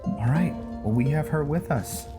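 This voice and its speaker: A man's voice: on the high side, expressive, moderate pace. A man giving casual, informal playthrough commentary and roleplay, thinking aloud as he goes. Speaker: gaming host and role-player. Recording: loud game music and rain behind. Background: game music, rain sounds.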